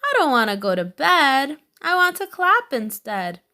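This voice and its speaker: A woman's voice: sits high, very animated, measured pace, pushed and bright, pitched above the performer's own voice, heavily acted. A woman voicing a grumpy, stubborn toad in a children's storybook, emphatic and defiant.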